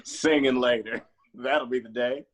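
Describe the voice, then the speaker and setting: An actor playing a sassy, teasing honky-tonk cowboy with a husky voice and dry, playful sarcasm at a table read. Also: male voice, medium pitch, fairly even intonation, unhurried, close to an everyday voice.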